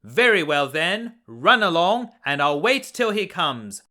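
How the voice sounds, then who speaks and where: A big, fearsome troll from a storybook, acted out by a man, loud and forceful. He taunts in drawn-out, swooping phrases, full of greedy menace and temper. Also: on the high side, very animated, full character voice. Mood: grudging.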